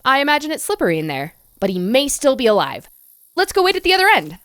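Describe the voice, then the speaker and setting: A woman's voice: sits high, wildly swooping pitch, fast, fairly bright tone, clean tone, no echo, heavily acted. A woman playing an earnest, anxious scientist who gives urgent, commanding instructions in an audio drama. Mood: worried. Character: earnest, urgent young scientist coaching a trapped friend.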